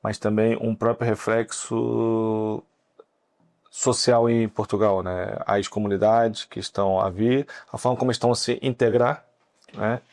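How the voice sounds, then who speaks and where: A man's voice: lowish voice, level tone, a little slow, creaky. A man co-hosting a podcast, summing up and congratulating the guest, tagging sentences with little question words. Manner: warm congratulating wrap-up, filler "né" tags. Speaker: podcast host. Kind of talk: podcast interview.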